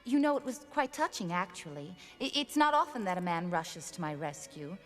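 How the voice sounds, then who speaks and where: A breezy society heiress. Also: female voice, lowish voice, big pitch swings, moderate pace, long reverb tail, faint music behind. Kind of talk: animated series voice acting.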